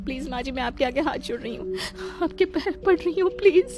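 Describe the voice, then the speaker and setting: A woman's voice: high-pitched, brisk, voice pushed up, close to an everyday voice. A woman voicing a meek young wife who pleads politely and tearfully in a storybook drama. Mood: tearful pleading.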